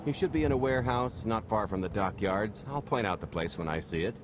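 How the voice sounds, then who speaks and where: An actor playing a smooth-talking roguish con artist in a video game, breezy, wry and sly. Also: male voice, fairly low pitch, animated, fairly quick, background noise, close to an everyday voice. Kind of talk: video game cutscene acting.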